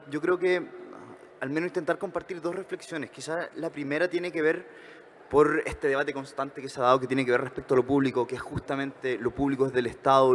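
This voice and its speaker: A man with a Chilean accent giving reflections at a conference workshop, talking fast with frequent hesitations and self-corrections. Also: medium pitch, in a large hall, a little hiss or hum. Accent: Chilean Spanish. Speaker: conference speaker.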